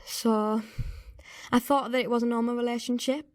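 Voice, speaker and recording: A young woman playing a young woman uneasily recalling her past in a halting, candid radio-play monologue. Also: on the high side, flat delivery, dry recording, studio quality, close to an everyday voice. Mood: awkward, uneasy. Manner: hesitant, halting, candid, swearing. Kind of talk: naturalistic confessional monologue.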